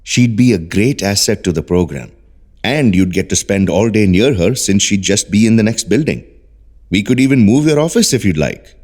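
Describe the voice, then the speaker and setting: An actor playing a calm, persuasive research doctor in an audio drama, speaking in a measured, polite, soothing way. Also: male voice, on the low side. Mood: earnest, persuasive.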